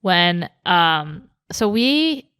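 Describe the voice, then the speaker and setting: A young woman co-hosting a podcast, soft-spoken and quiet, chatting casually with lots of fillers. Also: lowish voice, big pitch swings, drawn-out words, clear, resonant tone, no echo, clean studio sound. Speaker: podcast co-host. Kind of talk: casual chat.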